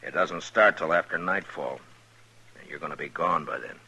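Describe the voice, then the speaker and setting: A stern low-voiced marshal. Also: male voice, normal speed, gravelly, slightly rough, muffled recording, full character voice. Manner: terse, firm, calm. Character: stern steady male frontier marshal. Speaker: narrator and lead. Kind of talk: 1950s radio drama acting and narration.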